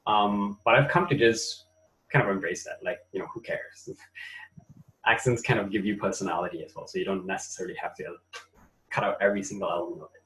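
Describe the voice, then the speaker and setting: A man from Malaysia with a Malaysian accent, co-hosting an online webinar in a relaxed manner with frequent fillers, speaking over a video call. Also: sits low, brisk, rough recording. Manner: relaxed, thinks aloud with fillers. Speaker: webinar co-host. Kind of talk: casual online webinar talk.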